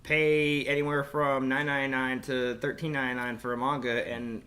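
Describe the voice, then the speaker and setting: A man co-hosting a podcast in casual discussion, explaining things in an animated, halting, rambling way. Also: medium pitch. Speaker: podcast co-host.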